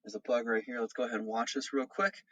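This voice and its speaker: Male teacher for a recorded online school lesson, husky voice, explanatory delivery with frequent check-ins, dry close sound. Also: mid-range voice, fairly even intonation, quick pace, a bit bright, dry recording, muffled recording. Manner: explanatory, often checking in with "okay" and "all right". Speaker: seventh-grade teacher. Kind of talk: remote lesson instructions and read-aloud.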